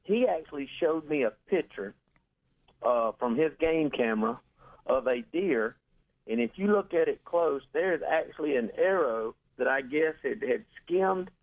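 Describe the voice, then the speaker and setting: A man guest telling a story in a rambling way, with many fillers. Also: a little high. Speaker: studio guest. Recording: slightly muffled audio.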